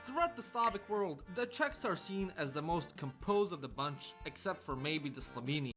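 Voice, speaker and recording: A wry, sarcastic male narrator reads a comic script in a mock-scholarly tone, slightly high for a man. Music runs clearly beneath him throughout. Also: lively intonation, moderate pace, fairly bright tone. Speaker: video narrator.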